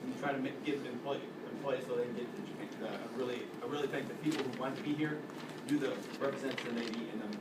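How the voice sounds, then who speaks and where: A man's voice: medium pitch, fairly even intonation, very fast. A man in his forties giving an informal, rambling, self-deprecating speech at his military retirement ceremony. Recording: echoey hall, heard from a distance, loud noise.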